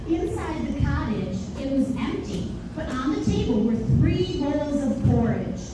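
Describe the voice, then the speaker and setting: A woman narrates a fairy tale for a children's puppet show. Her voice is high and lively in pitch. The recording is very echoey, picked up from a distance. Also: a little slow. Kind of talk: live storybook narration for children. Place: indoor live puppet performance. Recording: very echoey, noisy room, distant mic.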